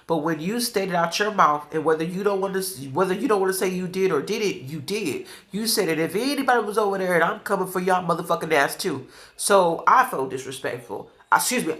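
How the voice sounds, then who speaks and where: A man's voice: high-pitched, sharp tone. A man with a stuffy nose giving a blunt, scolding commentary while eating on a food show. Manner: blunt, scolding, repeats points. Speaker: food vlogger.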